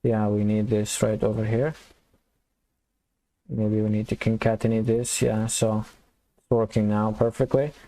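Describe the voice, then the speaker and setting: A man giving a casual coding tutorial, thinking aloud and explaining step by step in an informal, halting way. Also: lowish voice, little pitch movement, warm tone. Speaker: programming tutorial instructor.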